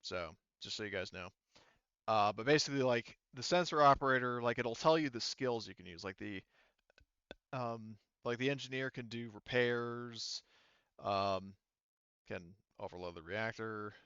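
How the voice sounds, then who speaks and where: A man's voice: medium pitch, normal speed, fairly bright tone, smooth, no room sound, muffled recording. A man explaining tabletop game rules as game master, casually rambling and thinking aloud.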